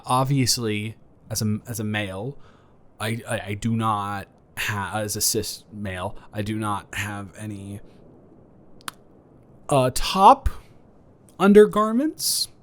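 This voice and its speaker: A man's voice: medium pitch, lightly acted. A man playing a flirty, awkward, kind neighbor in an improvised roleplay audio, stammering, rambling and correcting himself in a casual conversational way.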